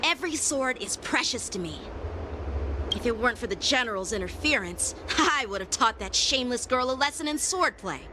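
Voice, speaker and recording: A woman's voice: fairly high pitch, animated, normal speed, background noise, lightly acted. A woman playing an earnest, easily indignant young sword lover in a video game, over music. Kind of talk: scripted video game voice acting.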